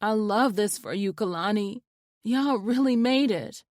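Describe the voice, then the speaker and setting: A woman voicing an excitable, emotional adult sister on a video call, in an audiobook. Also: medium pitch, slow, no room sound, studio quality, voice pushed up, lightly acted. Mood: excited. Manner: lively, emotional, teasing. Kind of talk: audiobook narration.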